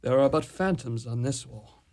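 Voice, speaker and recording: A man playing a troubled, brooding young prince in an amateur radio drama, speaking in a measured, solemn hush. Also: mid-range voice, lightly acted. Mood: dismissive, solemn.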